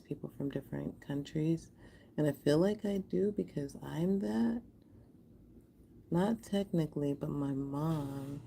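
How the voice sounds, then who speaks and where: Low, mellow, slow-talking American woman. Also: vocal fry, slight background noise. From Houston, Texas, USA. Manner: affectionate, appreciative, addresses viewers directly. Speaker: artist hosting a live art stream. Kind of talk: casual livestream chat with viewers while making art.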